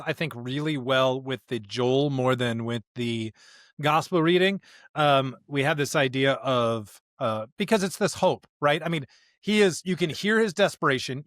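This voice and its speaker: A man co-hosting a podcast, casually discussing scripture in an informal, animated way with everyday slang and playful paraphrase. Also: on the high side, no echo, clean studio sound. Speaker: podcast co-host.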